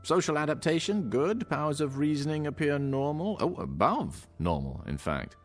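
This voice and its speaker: A man's voice: a little high, sing-song, normal speed, faint music behind. A man playing a patronising doctor in a radio drama, with hesitant, condescending reassurance. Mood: reassuring. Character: smooth, patronising male doctor at a futuristic clinic.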